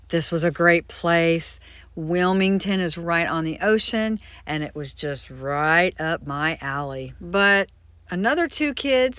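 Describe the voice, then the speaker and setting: A woman's voice: fairly low pitch, fairly bright tone, no echo. An older woman from Florida, a doll craft vlogger, telling her life story in a chatty, friendly way. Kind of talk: casual personal storytelling.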